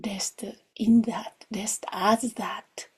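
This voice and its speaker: A woman leading a guided meditation in a soft, gentle, hushed way, often near a whisper, with long pauses. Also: medium pitch, fairly even intonation, breathy edge. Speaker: meditation teacher. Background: music.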